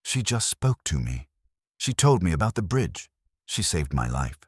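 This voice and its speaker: Man voicing a shaken deep-voiced trucker. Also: big pitch swings, unhurried, breathy edge, no room sound, studio quality, heavily acted. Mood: shaken, urgent. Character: shaken male long-haul trucker, urgent and pleading.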